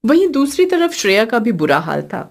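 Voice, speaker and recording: A woman's voice: a little high, very animated, a little fast, vocal fry. A woman giving scripted narration as the storyteller of a cartoon tale.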